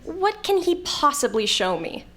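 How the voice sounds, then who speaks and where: A woman's voice: sits high, sing-song, unhurried, fairly bright tone, full character voice. A woman acting an irritable, sardonic character with a youthful form in an audio drama, talking in an exasperated way. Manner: exasperated, sarcastic.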